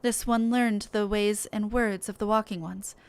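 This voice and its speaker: A woman's voice: medium pitch, steady tone, a little slow, darkish tone, smooth, lightly acted. A woman voicing an earnest forest sylph from a fantasy game, speaking in stilted, formal phrases. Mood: solemn, confessional.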